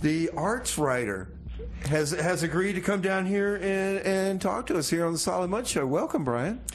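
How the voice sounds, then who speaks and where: A man's voice: high-pitched, lively intonation, moderate pace. A man hosting a community radio music show with casual, rambling, folksy banter. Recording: clearly noisy background.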